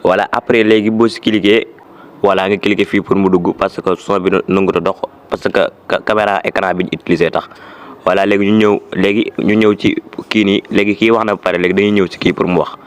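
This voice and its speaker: A man's voice: sits low, fairly even intonation, fast, clear, resonant tone. A man with a Senegalese accent gives an informal step-by-step app tutorial, repeating instructions and mixing in French words. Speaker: tutorial presenter.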